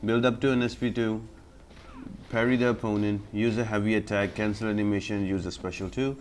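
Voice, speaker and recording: A man's voice: lowish voice, fairly even intonation, brisk, darkish tone, slight background noise. A man giving explanatory gameplay commentary as a guide host, in an instructional, step-by-step manner.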